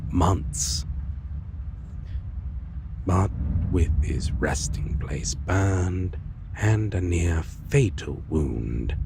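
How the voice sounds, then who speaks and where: A man playing a brooding, world-weary vampire lover in a dark fantasy audio roleplay, speaking intimately in halting, old-fashioned phrases with a low, breathy, gravelly voice. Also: noisy background, full character voice.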